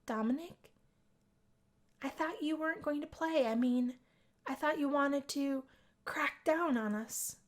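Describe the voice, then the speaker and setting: A shy young college woman, voiced by a woman reader in a visual novel. Her voice is high and her delivery tentative, as if never quite sure of herself. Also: unhurried, long pauses. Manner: hesitant, tentative.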